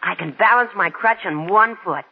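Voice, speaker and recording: A man's voice: high-pitched, moderate pace, gravelly. An actor playing an eager, plucky teenage boy who likes to show off, in 1940s radio drama style. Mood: excited. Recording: tinny, noisy old radio recording.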